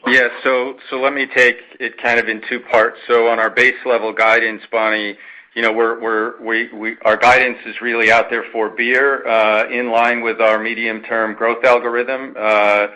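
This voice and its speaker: A man speaks as a finance executive on an earnings call. His tone is bright and forceful, yet the pitch stays very flat. He answers in measured corporate phrasing, often pausing and repeating words as he builds each point. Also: rough recording. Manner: measured, hesitant with frequent pauses and repeats. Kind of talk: answering an analyst's question, semi-formal corporate talk.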